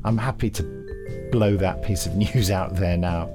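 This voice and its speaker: A man's voice: sits low, unhurried, music in the background. A man co-hosting a podcast in casual, jokey, rambling chat. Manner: jokey, digressive. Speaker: podcast co-host.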